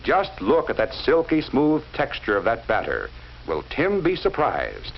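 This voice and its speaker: A man narrating a 1940s educational film in a measured, instructive way, speaking directly to the viewer. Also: medium pitch, huge pitch swings, vocal fry. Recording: dull lo-fi 1940s film soundtrack with faint hiss.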